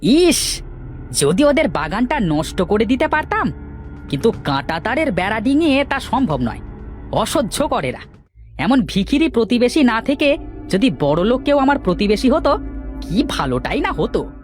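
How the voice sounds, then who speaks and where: A woman acting as an envious, scheming village man in a cartoon tale. The delivery swings widely in pitch and sounds scornful and bossy, over a light music bed. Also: big pitch swings, moderate pace. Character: sly, grudging village men, scheming and envious. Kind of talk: cartoon moral story voice acting.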